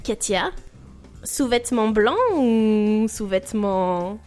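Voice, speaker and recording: A woman's voice: medium pitch, slow pace, darkish tone, faint music behind. A woman hosting a radio show in casual, joking chat, informal and teasing in her slangy way of talking. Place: radio studio.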